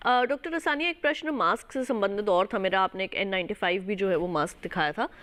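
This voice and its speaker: Clear-voiced woman hosting a phone-in. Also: medium pitch, fast talker, a bit bright. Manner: polite, short acknowledgements. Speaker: host.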